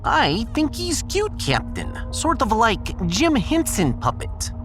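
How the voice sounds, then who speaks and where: A playful female ship's computer voiced by a woman, teasing and flirty. Her high voice swings widely in pitch, over faint music in a sci-fi drama. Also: huge pitch swings, slow pace, full character voice. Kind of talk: sci-fi audio drama acting.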